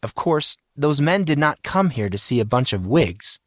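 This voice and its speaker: A man's voice: mid-range voice, big pitch swings, normal speed, dry recording. Man narrating a story, dry close sound. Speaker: audiobook narrator.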